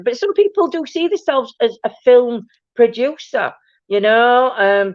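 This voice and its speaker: A woman hosting a paranormal chat stream, talking casually with a loud, booming voice and many restarts. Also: no echo. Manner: rambling, many restarts and "do you know what I mean". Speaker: host of a paranormal chat show. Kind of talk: casual live-stream chat reading viewer comments. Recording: dull, dry low-fi stream audio.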